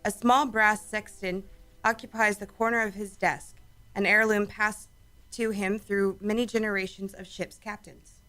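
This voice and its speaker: A woman's voice: mid-range voice, flat delivery, measured pace, fairly bright tone, soft background music. A woman reading scripted narration for a radio drama.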